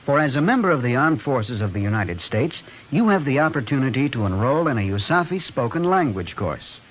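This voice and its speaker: A male announcer with a mid-range, highly inflected voice. He reads a scripted vintage radio announcement with faint noise on the recording. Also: very animated, brisk, rough recording. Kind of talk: scripted 1940s-50s radio public-service announcement.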